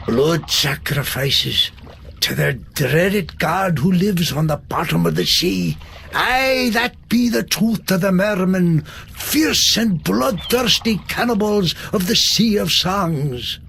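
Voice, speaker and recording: A man's voice: high-pitched, lively intonation, slow, gravelly, sharp tone, slight background noise, full character voice. An actor playing an old seaman who tells tales in a folksy dialect and grumbles about the young. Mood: ominous. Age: older. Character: old male sailor storyteller, grumbling.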